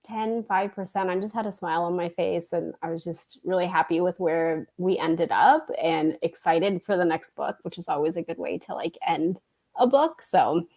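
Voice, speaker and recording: A woman's voice: on the low side, normal speed, darkish tone. A woman talking casually as a panelist in a group book chat.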